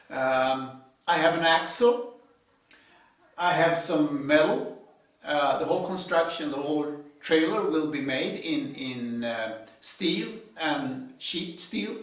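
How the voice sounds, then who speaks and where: A man in his fifties with a Swedish accent, a native Swedish speaker, giving an informal, halting explanation to camera as a hobby builder. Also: on the high side, fairly bright tone. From Sweden. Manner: halting, deliberate. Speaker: hobby builder presenting own video series. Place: indoor home garage workshop. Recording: echoey garage, distant microphone.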